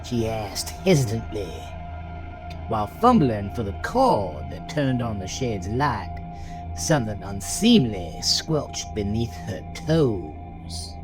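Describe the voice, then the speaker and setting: A man's voice: lowish voice, very animated, takes it slowly, low-quality recording. A man narrating a scripted story in a dramatic style, as the narrator of an audio drama. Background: music behind the voice.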